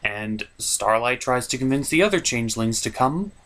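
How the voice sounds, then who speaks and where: A man's voice: mid-range voice, animated, moderate pace, a bit bright. A man giving a comedic recap as a cartoon reviewer, sarcastic and mocking in manner.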